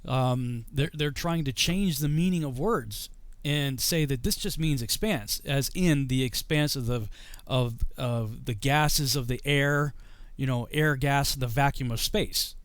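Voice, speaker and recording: A man's voice: medium pitch, a little slow, no room sound. Rambling middle-aged man on a video call. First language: English. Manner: rambling, many fillers and restarts. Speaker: guest explaining scripture. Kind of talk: casual explanatory talk on a video call. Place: home study with bookshelves.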